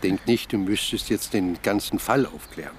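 A man's voice: fairly low pitch, normal speed, slight background noise, close to an everyday voice. Actor as worried, confiding man, faint ambience. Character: worried boyfriend, casual, confiding, somewhat evasive. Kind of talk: radio play dialogue.

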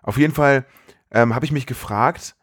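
Man, casual podcast co-host, enthusiastic, slangy rambling, mid-pitched, very dry sound. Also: moderate pace, dry recording. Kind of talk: casual film podcast chat.

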